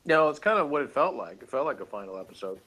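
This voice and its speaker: A man's voice: a little high, sing-song, fast talker, bright, forceful tone, low-quality recording. A man co-hosting a show, chatting casually and giving his opinions as a fan. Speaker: podcast co-host.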